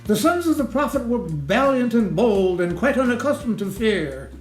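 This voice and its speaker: A man giving a theatrical recitation of a comic rhyming ballad in a measured, sing-song rhythm. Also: very high-pitched, sing-song. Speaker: poetry reader. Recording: roomy echo, music underneath. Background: music.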